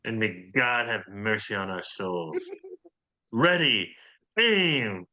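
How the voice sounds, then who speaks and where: A man playing excitable, goofy action-comedy characters in a script table read. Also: on the low side, slow pace, exaggerated voice. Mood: solemn, commanding.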